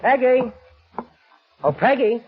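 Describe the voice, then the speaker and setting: A man's voice: very high voice, lively intonation, slow pace, loud music behind the voice, heavily acted. A man playing an anxious, insistent man in a 1940s radio drama, earnest and melodramatic in his pleading. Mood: distraught. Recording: muffled 1940s radio recording.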